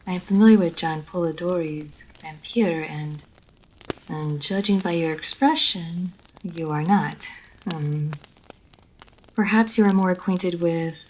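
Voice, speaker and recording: A woman voicing a refined, proud lady vampire who is easily flustered, speaking formally and with measured care in an audio roleplay. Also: on the low side, a little mellow, a little hiss or hum, rough recording. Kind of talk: scripted audio roleplay addressed to the listener.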